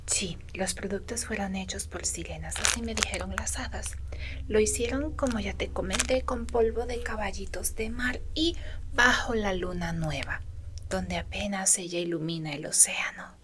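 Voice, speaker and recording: A woman performing a whispered ASMR roleplay as a gentle, caring faun, breathy and tender as she speaks to the listener. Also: mid-range voice, background noise. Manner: slow, soothing, addressing the listener tenderly. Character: gentle, caring female faun, a magical companion.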